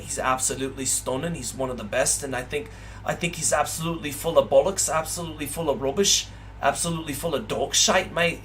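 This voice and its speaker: A man's voice: sits high, a bit bright, voice pushed up. A man voicing a brash, swearing Liverpool bloke with a shaky Scouse accent, tacking "mate" onto every line. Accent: Liverpool Scouse, put on badly. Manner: blunt, swearing, repeats "mate".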